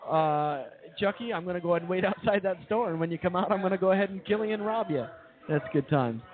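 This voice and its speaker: A man's voice: sits high, fast. A man co-hosting a comedy radio show in casual banter, talking in boastful hyperbole and repeating his phrases. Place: live show at a brewing venue. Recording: phone-like thin audio with crowd chatter. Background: crowd chatter.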